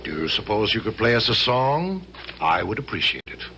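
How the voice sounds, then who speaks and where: Gravelly, commanding Hessian colonel. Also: male voice, on the low side, big pitch swings, moderate pace. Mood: quiet, grave. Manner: formal, commanding, courteous. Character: courtly, inflexible Hessian colonel ordering an execution. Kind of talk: 1970s radio drama acting. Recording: noisy 1970s radio drama broadcast.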